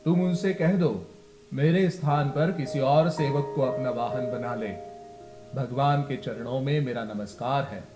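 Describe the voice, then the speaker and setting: Man as a stern, slow-speaking eagle god. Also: mid-range voice, reverberant, over music, close to an everyday voice. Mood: indignant. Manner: stern, indignant. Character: proud, offended eagle god, lord of birds.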